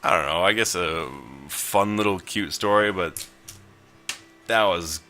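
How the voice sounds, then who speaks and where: A man's voice: low voice, measured pace, bright, forceful tone. A man reading a visual novel aloud and giving casual commentary as he plays. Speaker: video game player and narrator.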